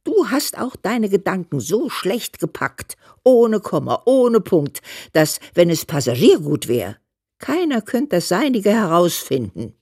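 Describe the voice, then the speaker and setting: A woman's voice: mid-range voice, big pitch swings, measured pace, darkish tone, breathy edge, no echo, studio quality, heavily acted. An actress playing a plain-spoken elderly mother who reads her fond, scolding letters in a radio play, earthy and emphatic. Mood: grumbling, fond. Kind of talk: dramatised letter reading in a German radio play.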